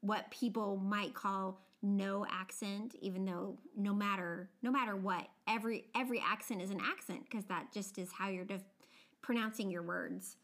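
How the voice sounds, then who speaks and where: A woman's voice: mid-range voice, steady tone, normal speed, fairly bright tone. An American woman with a subtle regional accent, co-hosting a podcast in a friendly, encouraging way and laughing easily. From United States. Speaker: podcast co-host. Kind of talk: casual podcast chat.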